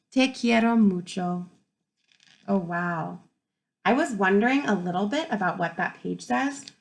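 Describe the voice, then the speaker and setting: A woman reading a picture book aloud to young children like a teacher, expressive and pausing to ask wondering questions. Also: on the low side, vocal fry, poor audio. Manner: expressive, pauses to pose questions to young listeners.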